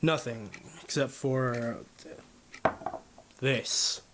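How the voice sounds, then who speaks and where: A man's voice: medium pitch, very slow, noisy background. A man playing an overworked, modest new homicide detective in a noir radio drama, speaking in a hesitant, friendly, self-effacing way. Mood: thoughtful.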